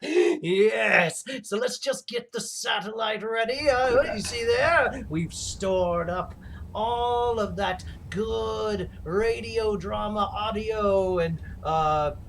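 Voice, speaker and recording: A man playing a theatrical mad villain hosting a comedy livestream, rambling and gloating with halting, melodramatic delivery and a creaky voice. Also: high voice, slight background noise, exaggerated voice. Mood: gloating, menacing. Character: theatrical male mad villain host plotting laser revenge. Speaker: livestream show host.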